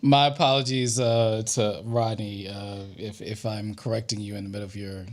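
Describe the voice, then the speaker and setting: A man co-hosting a podcast who gently corrects the others and laughs softly in casual group chat. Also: lowish voice, fairly even intonation, normal speed. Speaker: podcast co-host.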